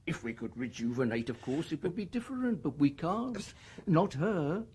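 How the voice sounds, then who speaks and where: An actor playing a bland, clinical official in a radio drama, smoothly matter-of-fact and reassuring. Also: male voice, on the high side, very animated, moderate pace, a little mellow, heavily acted. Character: brisk, bland official calmly explaining a dystopian world.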